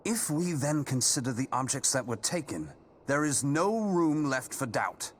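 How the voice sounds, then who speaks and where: A man's voice: medium pitch, big pitch swings, a little slow, a little hiss or hum. An actor playing a suave, courtly male adventurer in a video game, eloquent and gallant. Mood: serious briefing. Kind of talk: video game cutscene acting.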